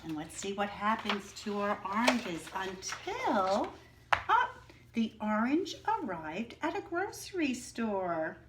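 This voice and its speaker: Slow, lively-voiced teacher reading to children. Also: female voice, mid-range voice, heavy background noise. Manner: slow, clear, expressive teacher voice, asking the class questions. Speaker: teacher reading aloud to a class. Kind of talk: children's story read-aloud with teaching asides.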